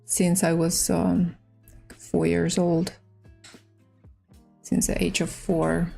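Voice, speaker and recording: Slow-paced Russian-accented woman streamer. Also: on the low side, level tone, gravelly, warm tone, breathy edge, faint music behind. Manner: halting, hesitant English with pauses. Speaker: livestream host and chess player. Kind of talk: casual livestream chat while playing chess.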